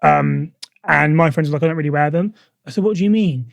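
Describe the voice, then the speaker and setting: A man's voice: on the high side, a little fast, vocal fry, dry recording. A man hosting a podcast in casual chat, telling stories in an easy, agreeable way. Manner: conversational storyteller, agreeable, chatty. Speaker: podcast host.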